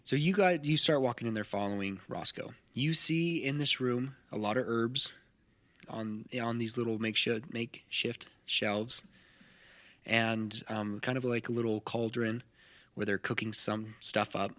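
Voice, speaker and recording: A man narrating a tabletop game as game master, describing scenes in a halting way. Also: mid-range voice, steady tone, fairly bright tone. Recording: noticeable background noise.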